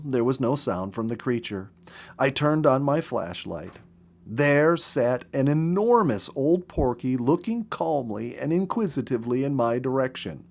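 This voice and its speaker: A man narrates a story in a medium-pitched voice. His delivery is expressive and deliberate, shaped for dramatic storytelling. He reads as a practised story reader would, with careful phrasing. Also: vocal fry.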